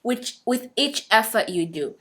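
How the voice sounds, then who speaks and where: A woman's voice: on the high side, animated, unhurried, a bit bright. A young woman whose first language is Swahili talks casually and earnestly to the camera, encouraging listeners, with many fillers and restarts. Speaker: fitness vlogger.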